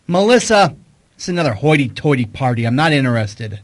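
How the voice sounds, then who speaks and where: An actor playing a wisecracking, informal private detective in a radio mystery. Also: male voice, mid-range voice, very animated, fairly quick, close to an everyday voice. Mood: grumbling. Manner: wry, informal. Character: wisecracking private detective, uneasy among high society. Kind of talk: hard-boiled detective radio drama.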